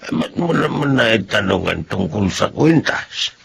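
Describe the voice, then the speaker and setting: An actor playing a frail, grateful old man in a Filipino radio drama, with a creaky voice and slow, gentle delivery. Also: lowish voice, expressive, slight background noise, exaggerated voice. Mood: grateful, sentimental. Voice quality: gravelly, creaky.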